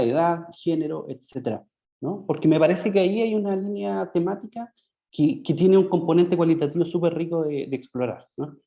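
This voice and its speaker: A man with a Chilean Spanish accent giving academic questions at an online conference, hesitant, with many fillers and restarts. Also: fairly high pitch. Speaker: conference discussant. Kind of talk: academic Q&A comments. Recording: dull lo-fi video call audio.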